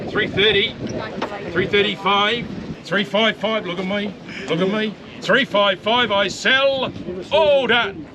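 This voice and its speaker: A man with a New Zealand accent working as a livestock auctioneer, rattling off bids in a rapid, repetitive chant and coaxing buyers at an outdoor saleyard. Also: sits high. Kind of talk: auction bid calling. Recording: loud crowd and livestock noise at an outdoor sale.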